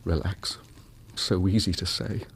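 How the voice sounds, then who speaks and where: An actor playing an agitated, impatient gentleman visitor in a radio drama, clipped and restless. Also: deep voice, fairly even intonation, unhurried, gravelly, a little hiss or hum.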